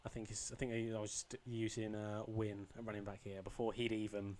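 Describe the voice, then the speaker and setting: A young man with a slightly croaky voice, casually commentating his own football video game match, rambling with ums and restarts. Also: fairly low pitch, level tone, fairly quick, darkish tone. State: a bit croaky. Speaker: gaming channel host.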